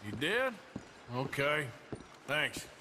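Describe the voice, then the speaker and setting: An actor playing a wary Old West outlaw in a video game, with laconic, folksy phrasing. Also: male voice, fairly high pitch, big pitch swings, slow, noisy background.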